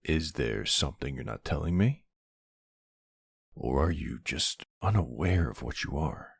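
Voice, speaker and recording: A man playing a centuries-old vampire hunter in an ASMR roleplay, gruff, wry and suspicious, questioning someone in a brusque, intimate way. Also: extremely low pitch, lively intonation, no echo, full character voice. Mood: suspicious, intrigued. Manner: brusque, wry, slow interrogating delivery with pauses. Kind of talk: ASMR roleplay, intimate one-sided dialogue.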